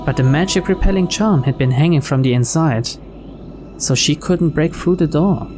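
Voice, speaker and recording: A man's voice: a little high, moderate pace, darkish tone, a little hiss or hum. A man reading a visual novel aloud as scripted story narration. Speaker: video game reader. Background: game background music.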